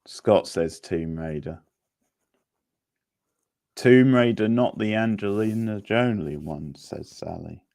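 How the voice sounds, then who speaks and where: A man's voice: on the low side, slow pace, pauses often, a little mellow. A man hosting a live-streamed quiz in an informal, chatty way, reacting to players' answers. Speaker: quiz host.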